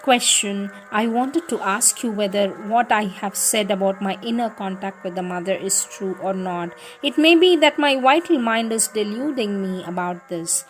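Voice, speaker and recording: A woman reading spiritual letters aloud in a devotional style, with deliberate delivery. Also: medium pitch, animated. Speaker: reader of spiritual teachings. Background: music in the background.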